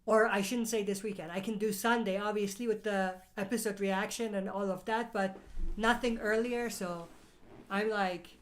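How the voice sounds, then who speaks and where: A woman's voice: medium pitch, fairly even intonation, moderate pace. A woman hosting a casual live Q&A stream, reading out viewer questions and answering them, her voice a little off from a cold. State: has a cold. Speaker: livestream host.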